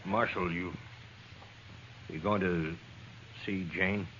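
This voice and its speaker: A stubborn, embittered outlaw talks low and guardedly, defiant and halting. The 1950s western radio acting comes through a muffled old recording with slight hiss. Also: male voice, fairly even intonation, sharp tone, full character voice. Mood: bitter, troubled.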